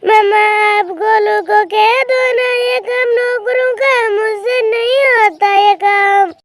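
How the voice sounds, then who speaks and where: A woman's voice: very high voice, steady tone, slow, very noisy, full character voice. A woman voicing a lazy, pampered young female bird in a cartoon story, whiny and dismissive. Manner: whiny, brushing off chores. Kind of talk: Hindi cartoon story dialogue.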